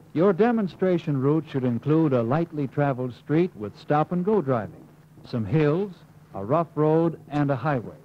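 An American man reading scripted instructional narration in an emphatic manner, as the narrator of a training film. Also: fairly high pitch. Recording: muffled, hissy 1950s film soundtrack.

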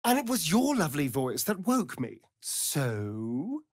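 An actor voicing a vain, sardonic vampire elf rogue in a video game, with an arch, drawling, theatrical delivery. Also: male voice, on the high side, wildly swooping pitch, slow pace, slightly rough, no echo, studio quality, heavily acted. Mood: teasing, sly. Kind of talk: video game character voice lines.

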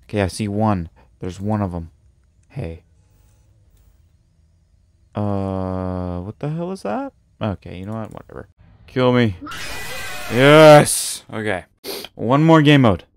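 A man's voice: lowish voice, animated, slow pace, long pauses, no echo. A young man giving casual, offhand live gaming commentary, muttering to himself and swearing. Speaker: game streamer.

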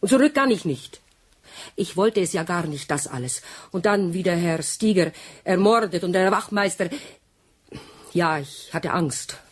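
An actress playing a cornered, defensive foreign woman in a radio crime drama, with a husky voice. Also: fairly low pitch, lively intonation, a little slow. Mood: defensive, frightened.

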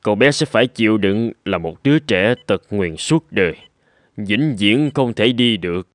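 An actor playing a grave, sorrowful middle-aged doctor in a cartoon, speaking in a solemn, measured way. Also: male voice, on the low side, lively intonation, no echo, studio quality, lightly acted. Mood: grave, regretful. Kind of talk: Vietnamese cartoon voice acting.

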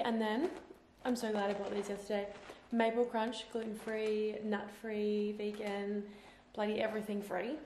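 A young woman in her twenties vlogging casually at home, gushing and chatty with lots of "like", filmed on a handheld camera. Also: mid-range voice. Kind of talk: casual vlog chat. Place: home gym and kitchen, indoors. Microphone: handheld camera at arm's length. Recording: echoey room with faint noise.

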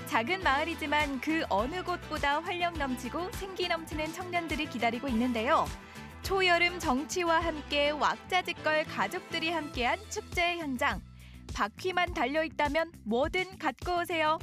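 A woman narrating a TV feature in a bright, cheerful scripted way. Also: sits high, moderate pace. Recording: music behind narration.

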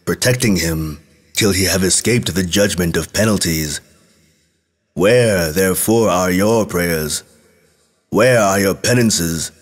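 A man reads as a mighty archangel pleading for humankind in a scripture tale. His low voice is solemn and lamenting, with formal, archaic phrasing. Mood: sorrowful reproach. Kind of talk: storybook scripture reading.

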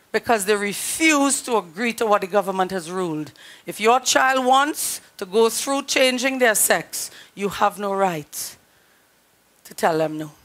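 Middle-aged Trinidadian woman preacher, a bit hoarse, earnest and emphatic, somewhat high voice, headset mic in a small studio. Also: fairly bright tone. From Trinidad and Tobago. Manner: earnest, emphatic, direct address to congregation. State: slightly hoarse. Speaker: pastor. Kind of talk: sermon.

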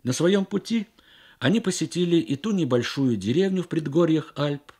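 A man reading literary prose as a dramatic radio narration, in measured, deliberate phrases with pauses. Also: a little high, lively intonation, darkish tone, husky. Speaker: reader of a short story on radio.

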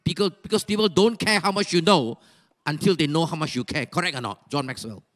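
An older man with a Malaysian English accent preaching a sermon on a church stage into a handheld mic, animated and exhorting, with rhetorical questions and sudden shouts. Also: a little high, brisk. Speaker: pastor.

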